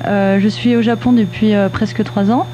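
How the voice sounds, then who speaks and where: A woman from the Paris region speaks as a guest, pausing often and filling gaps as she goes. Her voice is soft and mellow. The sound is slightly muffled, with a faint hum. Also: medium pitch, steady tone. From Paris region, France. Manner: hesitant, many pauses and fillers. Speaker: actor in a course drama, guest.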